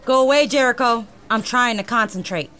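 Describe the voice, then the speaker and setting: A woman's voice: a little high, fairly quick, fairly bright tone, lightly acted. A woman playing a feisty, short-tempered skeptic in a comedic sci-fi radio drama, snappy and sarcastic. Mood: irritated, snappy.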